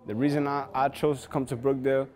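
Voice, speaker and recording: A man's voice: medium pitch, little pitch movement, fast talker, a little mellow. Young man, sincere and plain. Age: young adult. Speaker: college basketball player. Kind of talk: short interview intro. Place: empty basketball arena.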